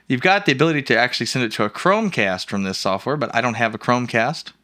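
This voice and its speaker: A man hosting an informal, jokey, rambling software walkthrough in a casual screencast style. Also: medium pitch, animated, fast. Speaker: host of a software review show.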